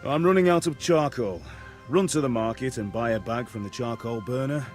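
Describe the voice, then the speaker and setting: A man's voice: medium pitch, normal speed, soft background music, lightly acted. An actor playing a blunt, teasing middle-aged village blacksmith who orders his son about, in a game cutscene. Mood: gruff teasing. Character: gruff, joking middle-aged blacksmith father. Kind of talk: video game cutscene acting.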